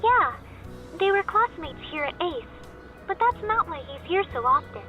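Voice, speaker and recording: A woman's voice: very high voice, very animated, normal speed, sharp tone, faint music behind, full character voice. A young woman voicing a shy, sweet teenage schoolgirl for a visual novel game, hesitant and polite. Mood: matter-of-fact.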